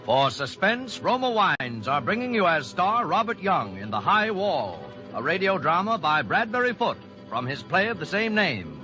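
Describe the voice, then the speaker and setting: A man's voice: sits high, sing-song, moderate pace. A man announcing a thriller program in dramatic 1940s radio style. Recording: dull old radio recording with music.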